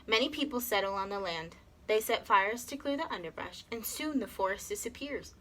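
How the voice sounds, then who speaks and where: A woman's voice: mid-range voice, lively intonation, fairly quick, pushed and bright, poor audio. A woman voicing a pleading toucan from a storybook, squawking an emphatic warning. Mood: urgent warning. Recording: clear background noise.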